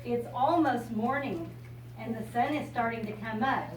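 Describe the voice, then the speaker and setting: An older American woman speaks to young children in a simple, explanatory way, as a visiting storyteller would. Her voice is on the high side. The recording is distant and echoey, with strong noise behind her. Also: normal speed. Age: 60s or older. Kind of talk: informal talk and picture-book reading to children. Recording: echoey room, distant mic, loud background noise.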